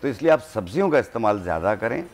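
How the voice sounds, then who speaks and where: A man, a herbalist guest on a studio talk show, calmly explaining home remedies step by step. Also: fairly low pitch, quick pace, gravelly. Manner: calm, instructive, gives home remedies step by step.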